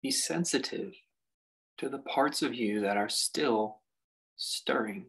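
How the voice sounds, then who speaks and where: Male meditation guide, gravelly and husky, contemplative delivery with lots of space between phrases. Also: mid-range voice, a bit bright, low-quality recording. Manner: unhurried, reflective delivery with long pauses. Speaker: meditation teacher.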